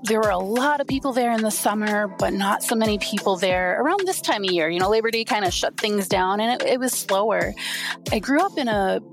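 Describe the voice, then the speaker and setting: A woman's voice: medium pitch, lively intonation, fairly quick, vocal fry, over music. A woman from Wisconsin, a guest educator speaking candidly and reflectively in an interview. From Wisconsin, USA. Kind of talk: conversational interview.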